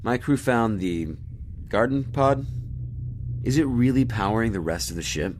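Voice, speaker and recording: A man's voice: medium pitch, lively intonation, normal speed, a little hiss or hum, lightly acted. A man playing a commanding spaceship captain in a science fiction audio drama, interrogating in a clipped, formal, authoritative way. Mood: measured, probing.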